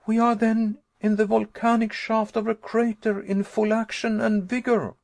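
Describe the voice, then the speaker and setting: A man reading an audiobook, voicing the narrator's terrified young self, who cries out in shrill panic. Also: flat delivery, normal speed, gravelly, no echo. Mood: panicked.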